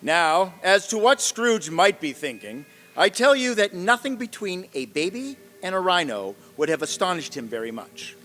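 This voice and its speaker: A man's voice: high-pitched, big pitch swings, normal speed, bright, forceful tone, exaggerated voice. A man narrating a radio play as a vivid, wry storyteller with dramatic delivery. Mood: storytelling. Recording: echoey hall.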